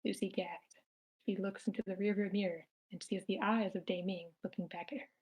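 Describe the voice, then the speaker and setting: A woman's voice: mid-range voice, fairly even intonation, a little fast, darkish tone, slightly rough, rough recording. A woman narrating screenplay action lines aloud at an amateur table read, in a plain manner with occasional stumbles. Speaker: stage-direction narrator.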